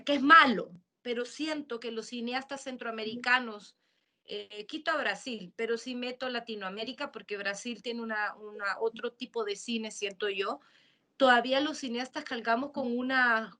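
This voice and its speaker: A woman from Nicaragua with a Nicaraguan accent, a filmmaker in her thirties or forties, thinking aloud on a panel with many halting restarts. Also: fairly high pitch, bright, forceful tone. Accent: Nicaraguan Spanish. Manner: searching, halting, restarts mid-phrase. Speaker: filmmaker asking a question on a panel. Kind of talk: reflective panel discussion.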